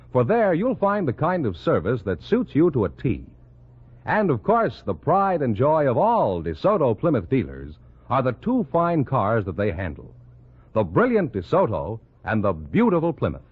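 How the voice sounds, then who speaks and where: A man's voice: medium pitch, breathy edge. A man with an American accent announcing a 1950s radio commercial in a polished, promotional manner. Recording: muffled, phone-like old radio recording.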